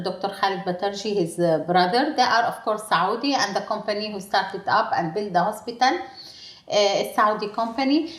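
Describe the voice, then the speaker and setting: A woman from Syria, a hospital chief executive, answering interview questions in English in a hesitant way, with many fillers and restarts. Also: mid-range voice. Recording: roomy echo.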